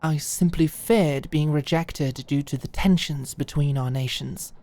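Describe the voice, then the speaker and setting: A woman's voice: low-pitched, a little slow, dark tone. A woman playing an earnest, polite young nobleman held captive, speaking in a courtly, hesitant, deferential way in a medieval roleplay drama. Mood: pleading, earnest.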